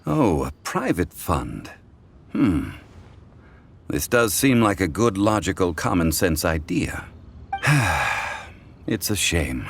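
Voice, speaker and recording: An actor playing an ancient, scholarly god in human guise in a video game, formal, measured and erudite. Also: male voice, sits low, sing-song, creaky, background noise, heavily acted. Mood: wistful, musing. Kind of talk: video game character acting. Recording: music behind the voice.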